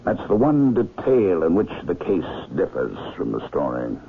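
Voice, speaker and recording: Knowing gentleman amateur detective, low and very animated, deliberate storyteller, old radio drama. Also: creaky, exaggerated voice. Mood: reflective. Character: perceptive older gentleman amateur sleuth, unhurried and knowing. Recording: lo-fi noisy vintage radio broadcast.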